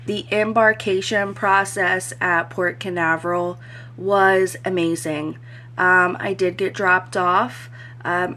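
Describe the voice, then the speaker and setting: A woman talking casually as a cruise vlogger, her voice a bit hoarse from crying the day before, with lots of "um" fillers. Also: fairly low pitch, fairly bright tone. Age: adult. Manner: chatty, frequent "um" fillers. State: slightly hoarse voice after crying. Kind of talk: casual review vlog.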